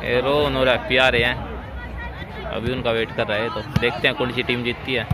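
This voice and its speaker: A young man vlogging on a phone at a sports ground, chatty and excited as he points things out. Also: mid-range voice, brisk. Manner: excited, chatty tour-guide narration. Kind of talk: casual vlog commentary. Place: open sports ground outdoors at dusk. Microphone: phone camera held at arm's length. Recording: outdoor crowd and street noise. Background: players shouting, traffic.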